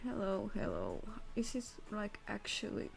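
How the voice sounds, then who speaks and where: Giggly young woman streamer, lively pitch. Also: measured pace, gravelly, faint music behind, rough recording. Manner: giggly, laughs often. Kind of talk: casual live-stream chat.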